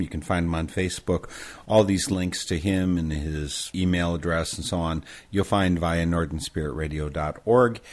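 Deep-voiced Midwestern radio host. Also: male voice, darkish tone. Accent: Midwestern American. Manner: thoughtful, curious questioning. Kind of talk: radio interview.

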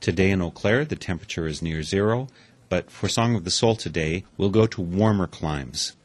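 A man hosting a radio interview, reading a smooth scripted introduction and then asking plain questions. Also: low-pitched, moderate pace. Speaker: radio host.

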